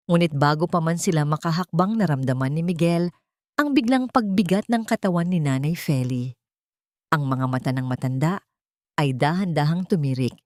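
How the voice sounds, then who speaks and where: A woman storyteller reads a dramatic audio tale in measured, solemn phrases. Her voice sits on the low side. The recording is dry, with no room sound. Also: a little mellow, no echo.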